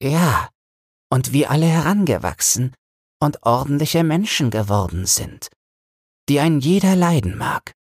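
Man voicing a gentle, slow-talking old woman, higher-pitched. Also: sing-song, husky, no room sound, studio quality, heavily acted. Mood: tender. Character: gentle, nostalgic old sailor's wife, fond and reminiscing. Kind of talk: audiobook fairy-tale character reading.

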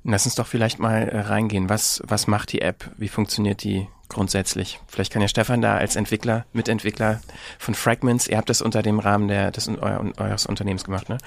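A man's voice: on the low side, little pitch movement, a little fast, creaky, few pauses, a little hiss or hum. A man hosting a podcast interview, asking casual questions in informal, meandering phrasing.

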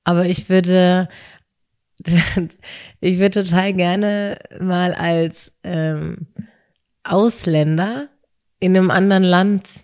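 A woman's voice: fairly low pitch, fairly even intonation, slow pace, long pauses, darkish tone, smooth. A woman co-hosting a casual podcast conversation, hesitant and searching for her words as she answers. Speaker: podcast co-host. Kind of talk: casual conversation about relationships.

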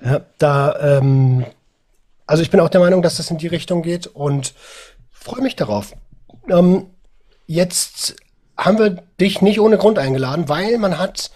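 A man hosting a podcast, talking in an upbeat, animated casual way while he has a cold. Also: on the high side, unhurried. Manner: upbeat, animated, says "geil" and "Alter". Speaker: podcast host.

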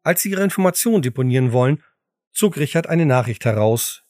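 A man's voice: mid-range voice, animated, fairly quick, darkish tone. A man narrating a scripted audio drama in a dramatic storytelling style. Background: music.

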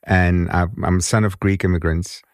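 A man in his fifties speaks with a very low voice and an accent caught between British and German. He tells his story in a measured way, often restarting and repeating words. The sound is dry and close, with no room around it. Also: little pitch movement, smooth, no room sound. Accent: mixed British and German. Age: 50s. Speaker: guest. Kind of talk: conversational interview.

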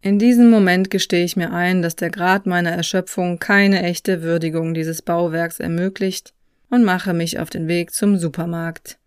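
A narrator reading a scripted personal travel story in a reflective, measured way, recorded close on a microphone. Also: medium pitch, fairly even intonation, smooth, no echo. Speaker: podcast host and narrator.

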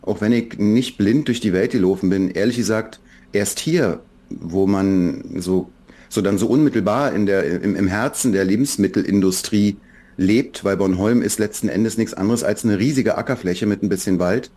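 A man from Berlin, a children's book author, talking reflectively in a relaxed podcast interview, with many fillers and restarts. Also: fairly low pitch, normal speed. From Berlin, Germany.

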